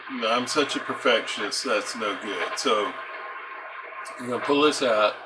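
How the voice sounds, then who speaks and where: A man giving a casual, unscripted repair walkthrough as a hobbyist host, in a halting, hesitant way with pauses. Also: mid-range voice, background noise. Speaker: radio repair hobbyist hosting a how-to video.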